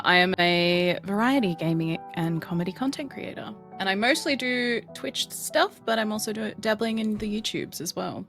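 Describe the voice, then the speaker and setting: A woman's voice: medium pitch, a bit bright. A woman comedy and gaming streamer introducing herself casually in a playful tone. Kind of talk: casual chat.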